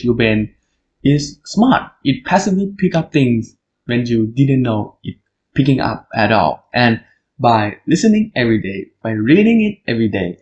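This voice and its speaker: Young thai guy hosting a solo podcast in english, thai accent, earnest, explains stuff straight to you, mid-pitched voice. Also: a little mellow, slight background noise, rough recording. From Thailand. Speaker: podcast host. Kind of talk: solo podcast monologue on language learning.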